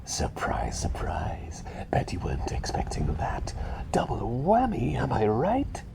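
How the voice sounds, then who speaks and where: A man voicing a fussy, chatty little bird who is really a doctor, rambling and repeating himself politely in a hushed, breathy ASMR roleplay. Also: mid-range voice, wildly swooping pitch, unhurried, full character voice. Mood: chatty, earnest. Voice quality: breathy, half-whispered.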